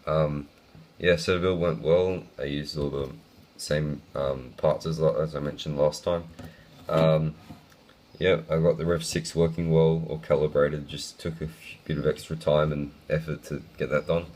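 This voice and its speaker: A young man with a croaky, sick voice, talking casually through a hobby build video with lots of ums. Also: extremely low pitch, flat delivery, measured pace, a little mellow. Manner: casual, rambling with many ums.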